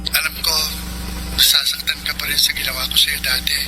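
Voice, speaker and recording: An actor playing an apologetic, persistent young former love interest in a radio romance drama, with a Filipino accent. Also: male voice, fairly high pitch, little pitch movement, a little fast, pushed and bright. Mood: contrite, troubled. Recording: noisy line with engine-like hum.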